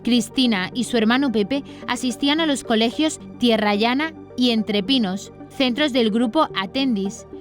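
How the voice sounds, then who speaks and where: Higher-pitched Spanish woman reading news. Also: moderate pace, few pauses. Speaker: news presenter. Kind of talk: scripted news narration. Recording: music under the voice.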